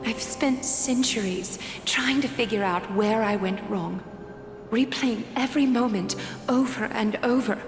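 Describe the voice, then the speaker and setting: A woman playing an ancient, dignified and regretful schemer in a video game, speaking in a measured, solemn, formal way. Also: fairly high pitch. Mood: regretful, earnest. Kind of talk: video game character acting. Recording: echoey hall reverb, music behind.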